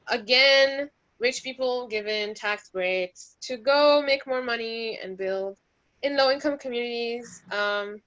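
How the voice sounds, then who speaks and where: A woman's voice: on the high side, a bit bright, no echo, highs cut off. A woman co-presenting a housing rights workshop, explaining policy with wry, sarcastic asides.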